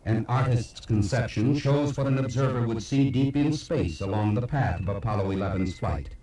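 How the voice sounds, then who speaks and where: A man's voice: mid-range voice, moderate pace, warm tone, distant mic. A man giving dramatic, scripted documentary narration. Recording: noisy, echoey cassette playback from afar.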